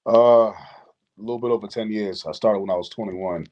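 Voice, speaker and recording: A man's voice: fairly low pitch, fairly even intonation, a little fast, a little mellow, lo-fi sound. A man in his thirties from Boston, chatting casually as a guest in informal, slangy speech. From Boston, United States. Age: 30s. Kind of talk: casual chat.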